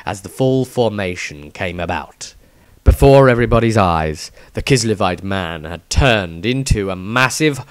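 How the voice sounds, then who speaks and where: A man's voice: lowish voice, no echo. A man reading a fantasy story as a scripted narration, with a theatrical, emphatic delivery. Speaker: author reading his own story.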